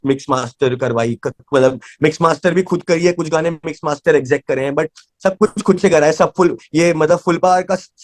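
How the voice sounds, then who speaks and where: An Indian man chats casually as a guest in an interview. His voice sits a little high and he talks very rapidly, hopping between Hindi and English. The sound is dry and close, with no room on it. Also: a little mellow, no room sound. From Delhi, India.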